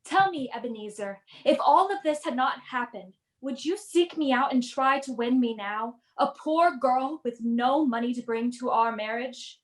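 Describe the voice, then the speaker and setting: A woman's voice: sits high, moderate pace, hardly any pauses, natural voice. A woman playing a sorrowful, reproachful young sweetheart in a radio play, recorded in an echoey room. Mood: hurt, reproachful. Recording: echoey room over video call.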